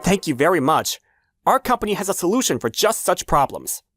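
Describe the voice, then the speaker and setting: A man's voice: sits high, big pitch swings, a little fast, fairly bright tone, no room sound, studio quality, faint music behind, heavily acted. A man playing an earnest, polite young salesman who narrates his own story in a manga dub.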